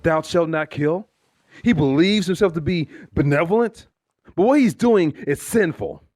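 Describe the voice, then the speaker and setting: An actor playing an anguished, hot-tempered police detective in a suspense audio drama, speaking forcefully and with emotion. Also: male voice, a little high, expressive, normal speed, no echo, studio quality. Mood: indignant.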